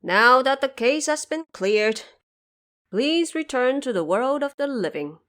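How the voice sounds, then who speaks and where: A woman's voice: high-pitched, expressive, a little slow, a bit bright, voice pushed up. A woman voicing the solemn, courteous male ghost kings of the underworld in a formal, declamatory storybook style. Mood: reassuring, grave.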